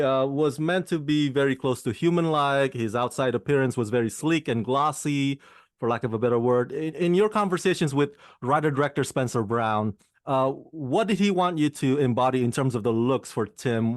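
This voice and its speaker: A man interviewing actors about a film, eager and quick to laugh. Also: mid-range voice, no echo, studio quality. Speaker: film interviewer, channel host. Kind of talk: enthusiastic interview questions.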